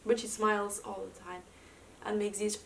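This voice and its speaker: A woman's voice: mid-range voice, level tone, normal speed, creaky. A young woman with a Dutch accent, chatting casually at home in a vlog, with lots of fillers. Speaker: vlogger. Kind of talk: casual chat. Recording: slightly distant mic with faint room noise.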